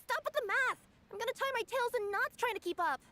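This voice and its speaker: A woman voicing a perky, hardworking young cat yokai girl in a video game, chatty and expressive. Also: extremely high pitch, fast talker. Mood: flustered.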